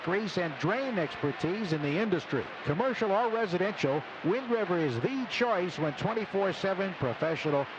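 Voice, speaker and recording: A man reading a scripted sponsor message on the radio. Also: high voice. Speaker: sponsor announcer. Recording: dull lo-fi sound, clear background noise.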